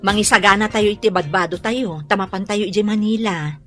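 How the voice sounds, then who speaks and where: Woman as an earnest Ilocano mother, mid-pitched. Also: fairly quick, over music, lightly acted. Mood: earnest, gentle. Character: caring Ilocano mother, earnest and gently pleading. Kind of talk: radio drama acting.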